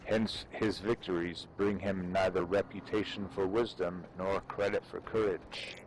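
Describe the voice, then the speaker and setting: A man giving a measured, solemn dramatic reading of an ancient text, declaiming it aloud. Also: low-pitched, steady tone. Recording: noisy game voice chat. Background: online shooter game sounds.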